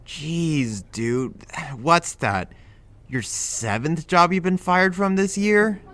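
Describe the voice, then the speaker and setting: A man's voice: a little high, takes it slowly, a bit bright. A man playing a blunt but well-meaning friend in an audio drama, casual, incredulous and chiding. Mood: incredulous, curious. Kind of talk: conversational audio drama acting.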